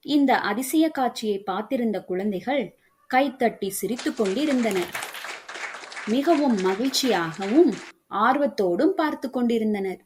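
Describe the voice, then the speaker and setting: A woman's voice: fairly high pitch, darkish tone, breathy edge. A woman reading scripted moral stories for children as a storybook narrator.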